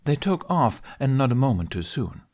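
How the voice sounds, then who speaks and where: A man's voice: mid-range voice, sing-song, normal speed, a little mellow. A man reading scripted story narration as an audiobook narrator.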